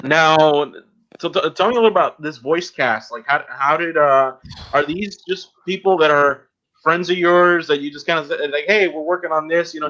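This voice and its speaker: A man hosting a casual interview, asking questions in a loose, halting, conversational way. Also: fairly high pitch, pushed and bright. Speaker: podcast host, interviewer. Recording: slightly dull, lo-fi sound.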